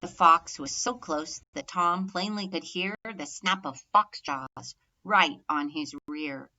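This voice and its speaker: A woman reading a rhyming children's story aloud expressively, measured and emphatic on the rhymes. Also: lowish voice, sharp tone, dull audio. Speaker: storybook reader.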